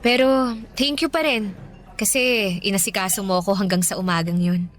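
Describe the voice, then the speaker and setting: A woman with a Filipino accent playing a soft-hearted, apologetic young woman in a conversational radio drama, gently spoken. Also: medium pitch, fairly even intonation, normal speed, natural voice. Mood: apologetic, embarrassed. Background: faint music.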